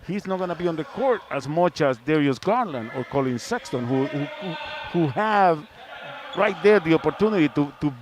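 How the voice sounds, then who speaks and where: A male sports broadcaster in a panel discussion, speaking in a halting way and repeating words. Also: a little high, lively intonation. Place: sports arena. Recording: faint venue noise.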